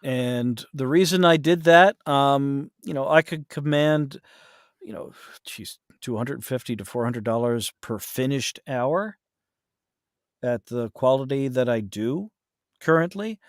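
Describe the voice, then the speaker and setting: A man's voice: medium pitch, measured pace, no echo, clean studio sound. A man in a casual interview as a podcast guest, explaining things in a hesitant, self-correcting way. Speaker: podcast guest, voice actor and audiobook narrator.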